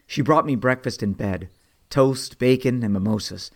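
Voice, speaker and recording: Man acting a husky, wry vigilante hero. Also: mid-range voice, moderate pace, a little mellow. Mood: weary, reflective. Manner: wry, self-deprecating. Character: wry, lovestruck 38-year-old male vigilante hero narrating his story. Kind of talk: superhero audio drama acting with first-person narration.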